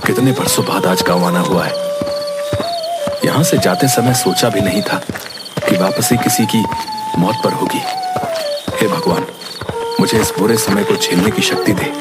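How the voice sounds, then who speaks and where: A man's voice: fairly low pitch, wildly swooping pitch, unhurried, pauses often. A man voicing a solemn, grieving village man in a dramatic horror cartoon. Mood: sorrowful. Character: grieving younger brother, vengeful village man. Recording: loud music behind.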